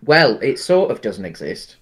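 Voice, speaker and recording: A man's voice: mid-range voice, very animated, measured pace, husky. A man, co-hosting a casual film chat over a video call, rambling through restarts. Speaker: podcast co-host. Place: home room on a video call.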